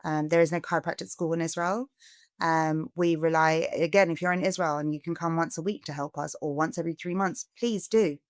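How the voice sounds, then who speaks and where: A middle-aged woman from England, a charity founder giving a podcast interview, earnest and growing animated as she asks for help. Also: low voice, brisk, no echo, clean studio sound. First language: English.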